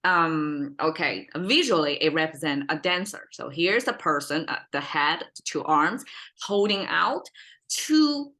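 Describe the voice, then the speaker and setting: A woman's voice: low-pitched, animated, measured pace, bright, forceful tone. A woman with a Chinese accent, a native Mandarin speaker, giving an informal language lesson in a rambling, self-correcting way. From China. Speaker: language teacher, podcast host.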